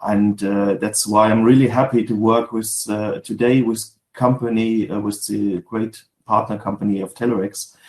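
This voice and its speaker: A man with a German accent gives a webinar talk from his home office on a video call, in halting English with many fillers and restarts. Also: lowish voice, flat delivery, a little mellow, echoey room, rough recording. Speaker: guest speaker, sales manager. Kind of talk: webinar presentation.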